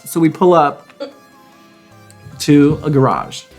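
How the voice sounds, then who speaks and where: A man with a slightly high voice tells personal stories in a casual chat. His manner is campy and sassy, peppered with slangy asides, and there is some music in the recording. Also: lively intonation, takes it slowly. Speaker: podcast co-host. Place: home garage studio.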